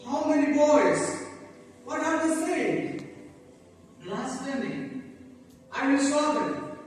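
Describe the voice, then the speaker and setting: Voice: high voice, slow, faint music behind. A performer playing a pious, stubborn young peasant boy in an amateur stage play, speaking in an earnest, emphatic way. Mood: indignant. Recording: echoey hall from far off, noisy.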